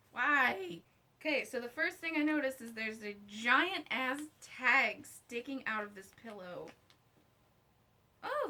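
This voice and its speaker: A woman with a fairly high, very bright voice presents an unboxing vlog. She talks in a casual, slangy way, as if chatting to viewers and to herself at once. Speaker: vlogger reviewing her own merch. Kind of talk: casual unboxing chat to camera.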